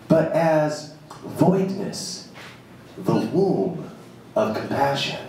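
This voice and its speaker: Slow, deliberate man in an echoey room. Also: mid-range voice, a little mellow. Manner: deliberate, emphatic, pausing between short phrases. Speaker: live reader of an essay. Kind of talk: live dramatic reading of a written essay. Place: live reading at a jazz club. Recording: echoey room, far from mic, faint noise.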